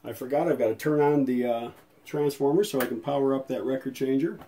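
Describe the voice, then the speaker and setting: Mellow-voiced man giving a folksy demo. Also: medium pitch, brisk, a little hiss or hum. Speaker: video host and radio restorer. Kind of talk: casual hands-on demo narration.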